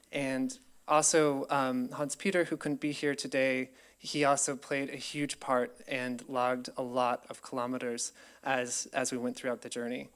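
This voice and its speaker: A man's voice: medium pitch, fairly bright tone, smooth. A young man from Colorado with an American accent, giving an earnest, grateful public presentation with frequent ums. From United States, Colorado. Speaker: PhD researcher presenting.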